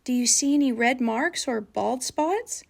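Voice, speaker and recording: A woman voicing a gentle, reasoning female primary school teacher who explains things patiently in a children's storybook. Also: high voice, a little slow, creaky, voice pushed up, exaggerated voice. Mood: puzzled, questioning.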